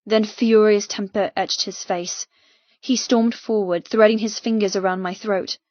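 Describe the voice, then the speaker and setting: A woman's voice: mid-range voice, no room sound, dull audio. Intense female audiobook narrator, very dry sound. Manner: intense, expressive, deliberate. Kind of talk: dramatic first-person fiction narration. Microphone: close mic in dry room.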